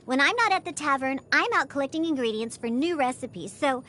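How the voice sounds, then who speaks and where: A woman's voice: sits high, animated, fairly quick, sharp tone. A woman voicing a proud, grumpy young cat-girl bartender in a video game, huffy and stammering when flustered. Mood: proud, guarded. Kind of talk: video game character voice lines.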